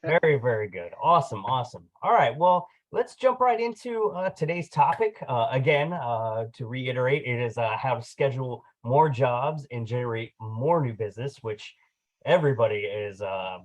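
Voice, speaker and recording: A man's voice: medium pitch, expressive, normal speed, low-quality recording. A man hosting a casual interview, chatty and upbeat, with many filler words. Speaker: interview host.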